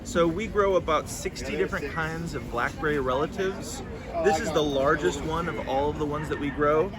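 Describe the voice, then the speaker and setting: A man's voice: on the high side, normal speed, a loud crowd. A man in his thirties, a farmer from California, answering interview questions earnestly, with many restarts. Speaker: farmer, guest. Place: outdoors at a farmers market. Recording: outdoor street noise, traffic.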